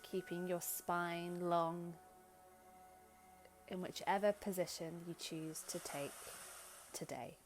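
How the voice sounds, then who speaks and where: A woman leading a guided meditation in gentle, soothing, unhurried short phrases with long pauses. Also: on the low side, steady tone. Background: background music.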